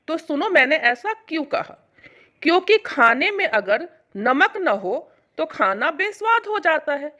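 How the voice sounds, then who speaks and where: Casting: affectionate older mother-in-law, played by a woman, high lively voice, patient, reassuring explainer for family storytelling. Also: heavily acted.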